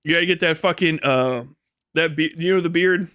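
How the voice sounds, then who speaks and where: A man live streaming, rambling comedically and casually to his chat with lots of swearing, folksy slang and animated, exaggerated storytelling. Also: a little high, brisk, no room sound, studio quality. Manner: profane, folksy slangy phrasing.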